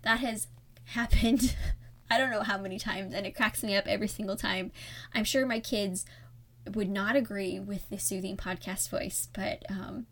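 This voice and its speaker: A woman's voice: medium pitch, steady tone, normal speed, a bit bright. Soothing-voiced woman hosting a podcast. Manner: conversational and reflective, candid. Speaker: podcast host. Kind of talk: solo podcast talk.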